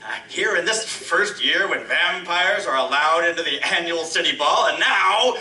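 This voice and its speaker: A man's voice: fairly high pitch, animated, moderate pace, bright, forceful tone. An actor playing a brusque, blustering male newspaper editor who barks orders at his reporter, recorded live on stage. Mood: gleeful. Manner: brusque, barking, laughs at chaos. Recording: roomy live stage from a distance.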